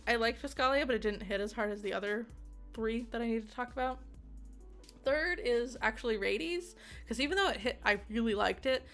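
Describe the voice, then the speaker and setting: A woman's voice: medium pitch, fairly bright tone. A woman giving a casual, unscripted game review in an enthusiastic, giggly, rambling way. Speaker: video game reviewer and streamer.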